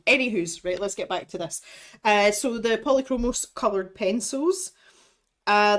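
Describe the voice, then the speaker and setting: A woman in her thirties, chatting casually on a livestream, rambling and laughing as she reads out product blurbs. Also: medium pitch. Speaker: art supplies streamer. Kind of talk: casual unboxing livestream chat.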